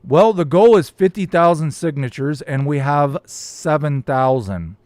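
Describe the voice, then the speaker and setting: A man's voice: on the high side, lively intonation, a little slow, a little mellow, no echo. Guy on a live chat going off about comments, voice getting a little cracked, dry room sound. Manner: rambling, reads viewer comments aloud. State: voice starting to crack. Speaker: YouTube host reading live chat. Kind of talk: casual live-stream chat.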